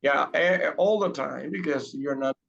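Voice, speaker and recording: A man's voice: a little high, normal speed, creaky, fairly bright tone, highs cut off. A man teaching an English class online, patient and repeating his questions to coax students to speak.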